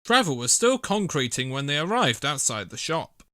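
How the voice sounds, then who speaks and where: Children's book narrator: male, expressive, animated intonation, close dry recording. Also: fairly high pitch, moderate pace, no room sound. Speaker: storybook narrator.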